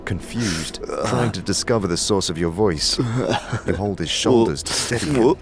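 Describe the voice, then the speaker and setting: A man narrating a fantasy audio drama from a script, in a measured, dramatic storytelling manner. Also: fairly low pitch, lively intonation. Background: music.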